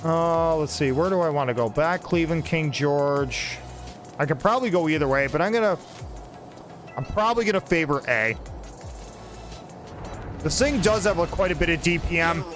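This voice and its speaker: A fairly high-pitched man in his forties talks through a match in a loose, rambling way, now and then joking with a friend. Soft game music plays faintly behind him. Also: expressive, normal speed, long pauses. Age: 40s. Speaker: gaming channel host. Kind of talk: casual gameplay commentary.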